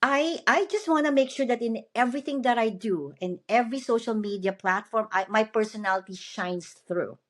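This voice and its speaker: A woman's voice: a little high, normal speed, few pauses. A woman from the Philippines, hosting a podcast interview in a chatty, upbeat way. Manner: chatty and upbeat, often asks "you know what I mean". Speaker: podcast host and motivational speaker. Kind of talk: casual interview chat.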